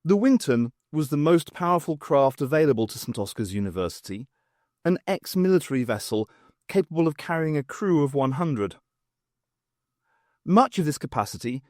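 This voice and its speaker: A man's voice: on the high side, a little mellow, no room sound, clean studio sound. A man reading scripted narration from a science fiction audiobook.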